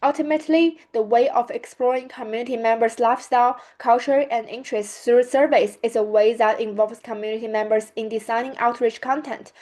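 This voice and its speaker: Young woman presenting her capstone, slightly high. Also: a little fast, few pauses. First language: Mandarin Chinese. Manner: steady, earnest, reads from slides with small restarts. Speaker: student presenter. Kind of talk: prepared academic presentation.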